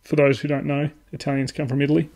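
A man hosting a podcast, asking questions in a halting way with lots of fillers and dry asides. His voice is gravelly and dark, with a husky grain. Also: fairly high pitch, level tone. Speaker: podcast host. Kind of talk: interviewing.

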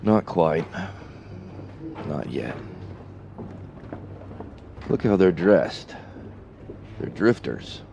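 A man playing a terse, watchful figure in a sci-fi audio drama, deadpan and clipped. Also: low-pitched, very slow, long pauses, dull audio, exaggerated voice. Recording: noisy background ambience.

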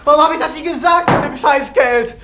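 A man's voice: very high voice, a little fast, heavy background noise. An actor playing a distraught young man who confesses in a frantic, shaken way in a radio crime drama. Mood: despairing.

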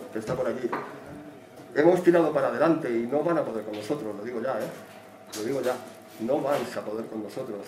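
A man in his thirties from Spain, the host of a channel, giving a defiant, emotional welcome speech into a handheld mic in a small room. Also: medium pitch. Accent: Spanish from Spain. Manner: passionate, defiant. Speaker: channel host opening an event. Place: small indoor meeting room. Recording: echoey room, mic at a distance, faint noise.

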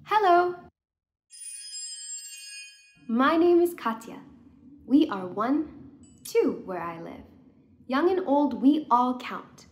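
A woman's voice: high voice, big pitch swings, slow pace, exaggerated voice. A young woman reading the part of a friendly little girl in a children's storybook, eager and simple in her explaining.

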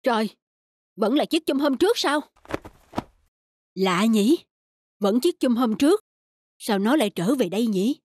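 An actor playing a kind, honest, easygoing peasant husband in an animated folk tale, speaking earnestly. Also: male voice, very high-pitched, unhurried, long pauses, noisy background. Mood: amazed. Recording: music behind the voice.

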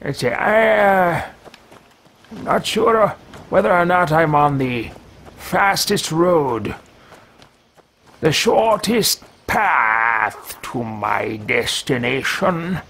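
A man's voice: fairly high pitch, expressive, extremely slow, fairly bright tone, exaggerated voice. A man in his thirties voicing a pompous, scheming mage who mutters grandly to himself, improvising fantasy video game role-play. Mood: musing, hesitant. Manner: theatrical, grandiose, muttering with hesitations. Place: small indoor room with shelves.

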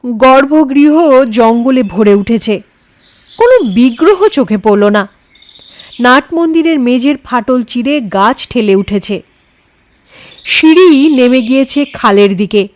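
A woman narrating a scripted story in a dramatic, expressive style, acting out the dialogue. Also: a little high.

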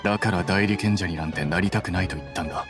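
A man playing a cool, aloof young scholar-official in an anime game, speaking in a measured, condescending deadpan. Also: deep voice, close to an everyday voice. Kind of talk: Japanese anime game voice acting. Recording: music behind the voice.

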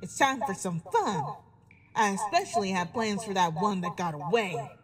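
A woman's voice: medium pitch, expressive, normal speed, slight background noise, lightly acted. A woman playing a menacing, gleefully sadistic female pony villain in a fan horror audio drama. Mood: gleeful menace.

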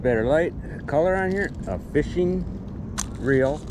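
A man hosting a magnet fishing outing by the water, commenting excitedly to the camera as he pulls up finds. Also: on the high side, measured pace. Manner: excitable, whoops and exclaims at finds. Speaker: magnet fishing channel host. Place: outdoors at the water's edge. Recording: outdoor noise and wind around the mic.

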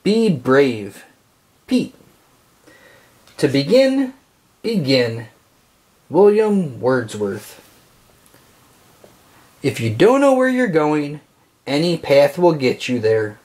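A man reading a children's picture book aloud, phrasing it deliberately and expressively for young listeners. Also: a little high, big pitch swings, a little mellow.

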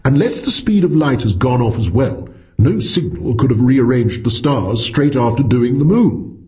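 A man's voice: medium pitch, animated, normal speed, warm tone, pitched above the performer's own voice, close to an everyday voice. A man voicing a polite, weary senior astronomer with a hesitant, donnish manner, in an audiobook story. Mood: hesitant, tired.

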